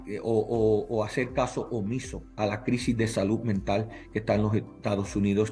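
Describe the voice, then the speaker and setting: A man's voice: on the low side, darkish tone. Man, middle-aged, native English speaker, earnest, emphatic, faint background music. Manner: earnest, emphatic, lists points with repetition. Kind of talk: interview answers.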